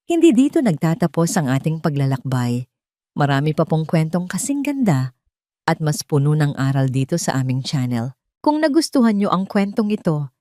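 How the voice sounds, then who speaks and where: A woman's voice: deep voice, warm tone, no echo, clean studio sound. A woman narrating a scripted story in an earnest, sentimental manner. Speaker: storyteller and host of a story channel.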